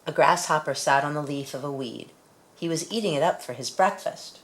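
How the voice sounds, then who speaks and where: A woman reading a children's picture book aloud in an expressive storytelling manner. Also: sits low, a little fast. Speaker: storybook reader.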